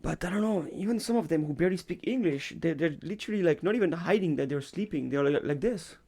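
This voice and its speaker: Young man, gravelly voice, slightly high, lecturer chatting, acts out stories. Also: fast. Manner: animated storytelling, acts out his students and himself; frequent fillers and restarts. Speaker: university lecturer, podcast co-host. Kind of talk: casual chat.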